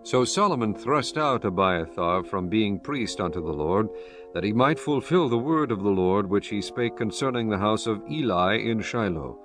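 Low-voiced solemn male scripture narrator. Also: animated, normal speed. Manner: solemn, formal storytelling. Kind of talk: scripted dramatized Bible narration. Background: soft background music.

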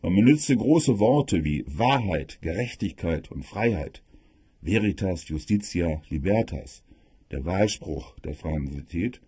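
A man with a husky voice narrating history like a lecturer, in an emphatic storytelling manner with rhetorical questions and dramatic pauses. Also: low voice, animated, warm tone, no room sound, clean studio sound. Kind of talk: spoken history lecture for an audio production.

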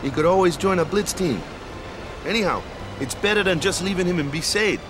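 A man's voice: sits high, brisk, noisy background, close to an everyday voice. An actor playing a laid-back, defensive but good-hearted young male athlete in a video game, talking colloquially with tag words. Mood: defensive, casual. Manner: colloquial, tags sentences with "eh", "ya" or "huh". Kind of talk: video game cutscene acting. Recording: music behind the voice.

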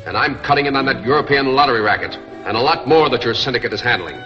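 An actor playing a decisive newspaper publisher who is also a masked crime fighter, in a 1940s serial. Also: male voice, medium pitch, fast talker, natural voice. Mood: commanding. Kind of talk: 1940s film serial acting. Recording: muffled 1940s serial soundtrack, loud music.

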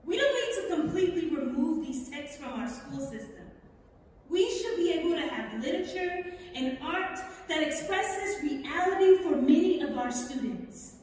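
Young female speaker, very high voice, earnest emphatic speech, heard distantly across a reverberant theatre. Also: a little mellow. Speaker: stage reader. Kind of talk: impassioned speech read from a lectern. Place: large theatre stage, indoors. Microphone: far camera in the audience. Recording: very echoey theatre hall from far off.

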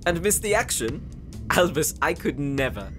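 Male actor as playful smug paladin, fantasy audio drama, needling, lightish pitch, very animated intonation. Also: normal speed, music in the background. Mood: teasing, smug. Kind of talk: comedic fantasy audio roleplay.

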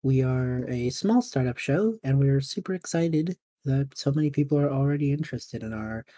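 A woman's voice: rumbling low, moderate pace, warm tone. A woman hosting a podcast, giving an informal announcement to listeners. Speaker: podcast host.

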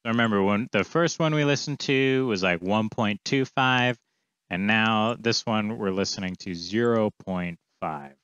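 A man in his thirties with a fairly low, clean and smooth voice walks through a tutorial casually, thinking aloud. The sound is dry and close but slightly dull and lo-fi. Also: a little slow, fairly bright tone, no room sound. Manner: informal, offhand, thinking aloud. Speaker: coding tutorial presenter. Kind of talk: casual screencast walkthrough, explaining as he goes. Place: small indoor room, screen recording with webcam.

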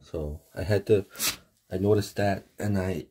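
A man casually explaining a home heater repair, halting with fillers and restarts, recorded on a phone in a basement utility room. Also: sits low, dark tone, slight background noise. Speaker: homeowner showing a furnace repair. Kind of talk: casual how-to video. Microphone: phone camera held close.

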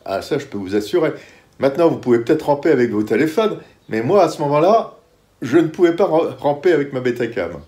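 A man in his sixties recalling his years as a war cameraman in a casual, lively storytelling way, talking at home in his living room. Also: on the high side. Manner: lively storytelling, quick bursts. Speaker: former war cameraman recounting. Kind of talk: casual spoken recollection.

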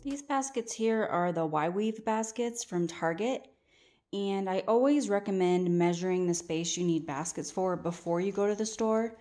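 A woman in her thirties chatting casually through a home cleaning vlog, relaxed with frequent ums, filmed by a camera mic in a small bedroom. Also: medium pitch, normal speed, soft background music. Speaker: vlogger. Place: small bedroom studio, indoors. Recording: roomy echo, faint background noise.

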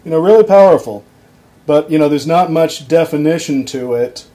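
A young man explaining a guitar circuit on his workbench, casual and halting, with frequent ums and restarts, recorded on a camera mic. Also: fairly high pitch, poor audio. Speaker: electronics hobbyist demonstrating a circuit. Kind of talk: casual off-the-cuff tutorial. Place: workbench at home, indoors.

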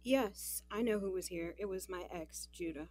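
A woman's voice: medium pitch, moderate pace, a little mellow, no echo, natural voice. An actress playing a guarded, exasperated young working woman in an audio drama, frank and wry. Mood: subdued.

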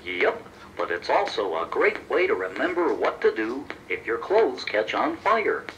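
A man voicing a silly, childlike, eager sidekick creature in a children's audio story, exclaiming and easily excited. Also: mid-range voice, a little slow, highs cut off, a little hiss or hum. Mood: cheerful, eager. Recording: promotional children's cassette tape.

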